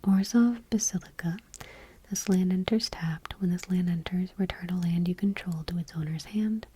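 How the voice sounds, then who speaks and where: A woman ASMR host softly reading in a hushed, breathy whisper, gentle and unhurried, item by item. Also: fairly low pitch. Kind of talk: soft-spoken ASMR, whispered card reading.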